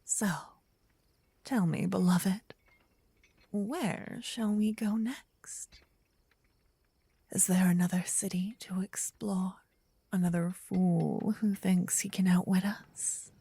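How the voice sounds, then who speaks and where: A woman playing a gentle, possessive, lovestruck vampire in a soft, intimate, breathy ASMR whisper, close to the ear. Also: mid-range voice, steady tone, no echo, heavily acted. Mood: playful, affectionate. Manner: intimate, slow, teasing. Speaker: ASMR roleplay performer. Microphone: close binaural ear-to-ear microphone.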